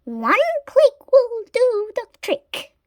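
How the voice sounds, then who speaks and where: A woman voicing an excitable, scatterbrained witch from a storybook, exclaiming theatrically. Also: extremely high pitch, extremely slow, no echo, studio quality, voice pushed up, exaggerated voice. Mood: eager.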